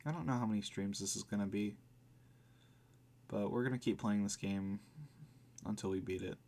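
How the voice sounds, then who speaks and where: Low-pitched man streaming a game casually. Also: normal speed, darkish tone. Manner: informal, chatty, reacting aloud with slangy exclamations. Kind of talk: casual live let's play commentary, reading game text aloud.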